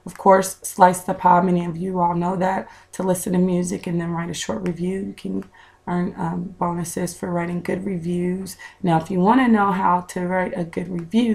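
A woman in her thirties talks casually to her viewers through a webcam at home. Her voice is raspy and heavily gravelly, pitched a little low. She is chatty and direct, with easy fillers. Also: darkish tone. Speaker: vlogger. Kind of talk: casual vlog advice. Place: small room at home, indoors.

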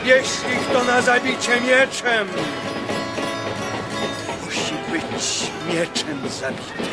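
An actor playing an educated slave reading prophecy aloud in a radio drama, slow and solemn. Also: male voice, very high-pitched, expressive, exaggerated voice. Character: educated male slave reader, solemn and grave. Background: music.

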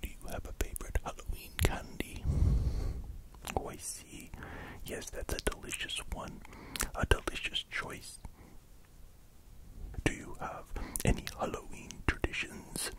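A performer voicing a playful, gently menacing vampire dog count in a slow, repetitive ASMR whisper, close to the microphones. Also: natural voice, low-quality recording. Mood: playful, soothing. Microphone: close pair of microphones.

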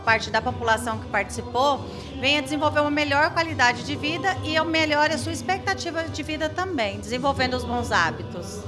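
Higher-voiced Brazilian woman over background music. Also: fairly quick. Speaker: social assistance centre staffer. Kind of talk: TV interview answers. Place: small indoor room. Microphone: handheld reporter microphone.

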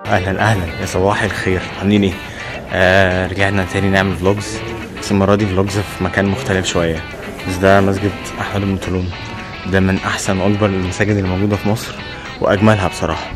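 Casting: Egyptian-accented male travel vlogger, twenties, very quick delivery, slightly low pitch, casual and emphatic. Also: level tone, over music. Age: 20s. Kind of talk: casual vlog chat to camera. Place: old stone mosque, arcades and open rooftop. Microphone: camera held at arm's length.

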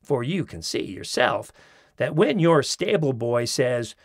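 Guy reading a funny essay, raspy voice, bouncy pitch, does little bits. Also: sing-song, slow, dry recording. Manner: mimics accents in quoted phrases, dry wit. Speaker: audiobook narrator. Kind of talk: expressive reading of a humorous essay. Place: studio. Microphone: close studio mic.

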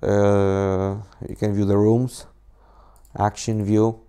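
A young man from Poland, speaking English as a second language, talks through a coding tutorial in a casual, rambling way. His voice is lowish, warm and smooth, with frequent restarts and fillers, captured on an inexpensive desk mic. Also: little pitch movement, slow. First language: Polish. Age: 20s. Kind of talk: casual screen-recorded tutorial talk. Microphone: cheap desk microphone on a laptop.

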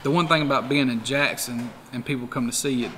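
A man in his forties, a car museum owner, talking in a relaxed, rambling way with many fillers in a documentary interview. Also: mid-range voice, fairly quick, long reverb tail, slight background noise. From Tennessee. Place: garage workshop full of memorabilia.

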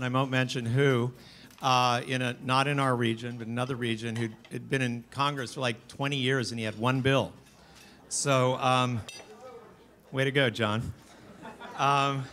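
A man at a podium in a large banquet hall introducing the keynote speaker, friendly and a little halting, chuckling between facts. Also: mid-range voice, a bit bright, a little hiss or hum. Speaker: event host introducing speaker. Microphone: podium microphone.

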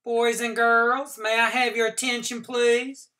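A woman voicing a brisk, firm but kind female schoolteacher making clear classroom announcements. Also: on the high side, level tone, sharp tone, voice pushed up. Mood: announcing.

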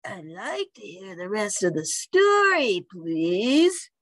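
A slangy young dog dude from a children's storybook, as a woman acts him out. He is laid-back and drawls his words slowly, with pitch that slides up and down a lot. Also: sing-song, fairly bright tone, no echo, studio quality, heavily acted. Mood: polite, eager.

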